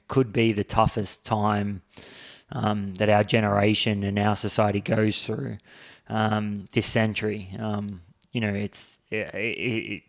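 A man hosting a podcast, introducing the episode with a sore throat and a hoarse voice, rambling with many fillers and restarts. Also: fairly low pitch. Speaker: podcast host.

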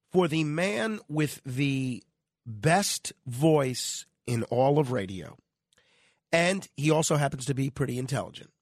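A man's voice: medium pitch, dry recording, clean studio sound. A man hosting conversational talk radio, his voice nasal and stuffed up with a cold. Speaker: radio host.

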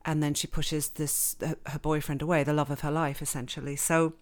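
Low-voiced English woman, chatty. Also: level tone, brisk. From born in England, grew up in Switzerland. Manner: chatty, self-deprecating, laughs often. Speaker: novelist, interview guest. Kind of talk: casual interview chat.

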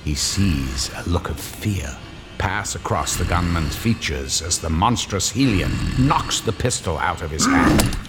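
An actor playing a grave, suspenseful narrator of a horror radio drama, reading scripted narration theatrically. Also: male voice, low-pitched, very animated, a little slow. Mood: urgent. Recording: loud music behind the voice.

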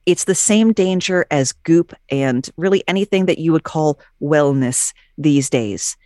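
A woman with a notably low voice shares facts from her notes in a relaxed podcast chat. She drops dry, jokey asides with a deadpan edge. The sound is close and completely dry. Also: unhurried, dry recording. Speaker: podcast guest.

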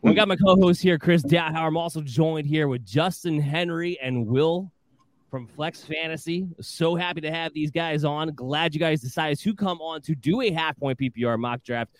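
A man hosting a live fantasy football podcast, energetic and upbeat, with a slightly hoarse voice. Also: on the high side, normal speed.

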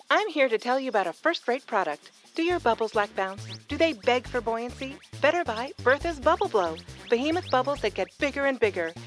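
High-voiced chirpy radio pitchwoman. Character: chirpy female radio show product pitchwoman. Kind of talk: scripted old-time radio advertisement.

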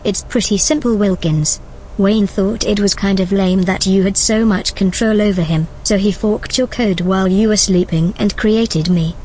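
A smug, breezy female AI gone rogue, voiced by a woman, speaks in a wry, casual way at a middle pitch. It is scripted audio drama acting, with a little background noise. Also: normal speed, darkish tone, close to an everyday voice, rough recording.

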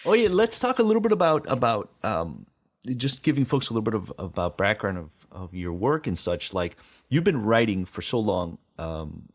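A man's voice: lowish voice, big pitch swings, moderate pace, husky. A middle-aged man hosting a casual interview chat. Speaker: podcast host.

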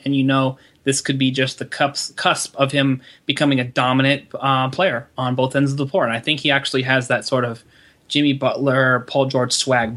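A man's voice: medium pitch, fairly bright tone. A man co-hosting a casual fantasy sports podcast, rambling and restarting his sentences as he gives his picks. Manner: rambling, restarts sentences, many fillers like "you know". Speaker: podcast co-host, fantasy basketball analyst.

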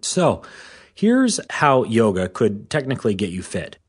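A man hosting a fitness podcast, a little hoarse, explaining things in an informal scripted way. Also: lowish voice, wildly swooping pitch, measured pace. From Washington State.

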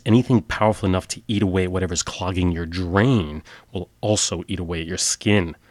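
Deep-voiced man with a stuffy nose. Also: dry recording. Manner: plain matter-of-fact delivery with filler uhs in sign-off. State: nasal from a cold. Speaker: podcast host. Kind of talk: scripted storytelling narration.

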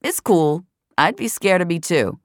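A woman's voice: fairly low pitch, lively intonation, a little slow, dry recording, clean studio sound, voice pushed up. A woman voicing a cocky, scornful male gamer in an audio drama, mocking and incredulous, with plenty of swearing. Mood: grinning, teasing.

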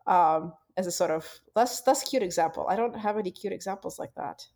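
A woman's voice: lowish voice, a little fast, darkish tone. A woman from Russia with a Russian accent, talking in a casual discussion and often restarting her sentences as she thinks. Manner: thoughtful, with frequent restarts and repeated words.

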